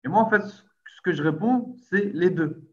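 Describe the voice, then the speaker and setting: A man's voice: high voice, moderate pace, fairly bright tone. Conversational male host with lo-fi sound. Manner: conversational, explains then asks questions. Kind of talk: casual chat.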